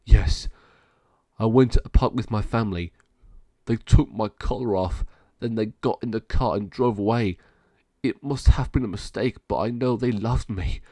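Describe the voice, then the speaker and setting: A man's voice: on the low side, normal speed, warm tone, no room sound, close to an everyday voice. A man voicing a wistful, abandoned family dog in a story, speaking earnestly and plaintively.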